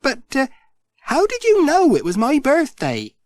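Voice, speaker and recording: A polite, puzzled village postman, as a male storyteller plays him, pitched well above the narration and swinging about with bemused, sing-song questions. The recording is close and dry. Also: huge pitch swings, measured pace, darkish tone, dry recording, heavily acted. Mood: grateful. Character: friendly, puzzled village postman, grown man.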